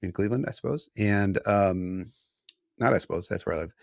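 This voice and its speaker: A man's voice: on the low side, fairly quick, gravelly, no room sound, studio quality. A man co-hosting a podcast, talking casually in a rambling, hesitant way with lots of fillers. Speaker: podcast co-host. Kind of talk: casual conversation.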